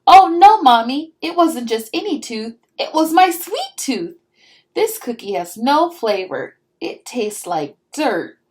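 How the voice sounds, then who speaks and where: A demanding little girl from a storybook, as a woman reader puts her on. Her voice is high and jumps around with every exclamation. She is dramatic and whiny, making a big deal of everything. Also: sing-song, takes it slowly, heavily acted. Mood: upset.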